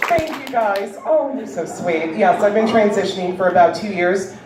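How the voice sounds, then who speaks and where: A woman with a deep voice doing a stand-up comedy set with a wry, deadpan delivery, heard on stage before a live audience. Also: normal speed, very noisy. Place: large indoor venue with live audience. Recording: echoey hall recorded from far off, loud crowd. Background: audience cheering and laughing.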